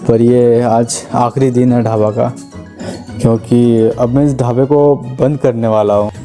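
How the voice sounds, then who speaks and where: A man voicing a humble, kind, poor eatery owner with a subdued, gentle manner in a moral story. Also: medium pitch, level tone, a little slow, soft background music, natural voice. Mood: dejected. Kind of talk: Hindi moral story voice acting.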